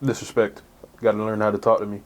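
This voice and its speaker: Young dj guy from jamaica, relaxed and easygoing, talks it through calm. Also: lowish voice, fast talker. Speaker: DJ guest. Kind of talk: casual interview.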